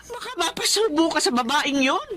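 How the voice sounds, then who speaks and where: A fretful, animated Filipino mother. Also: high voice, normal speed, a crowd in the background. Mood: upset, scolding. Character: worried, suspicious, scolding older Filipino mother. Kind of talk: radio drama acting. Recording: clearly noisy drama recording.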